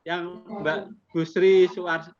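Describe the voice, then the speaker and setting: A man's voice: fairly high pitch, little pitch movement, slow pace, a crowd in the background. Chatty Javanese-accented man on a video call. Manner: friendly, chatty, mixes in Javanese politeness words. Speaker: accreditation assessor. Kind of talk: online interview with teachers. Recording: muffled video call audio with background noise.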